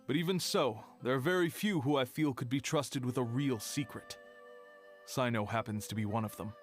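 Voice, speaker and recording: An actor voicing a cautious male archive keeper in a video game, speaking in a measured, guarded way. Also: medium pitch, soft background music, close to an everyday voice.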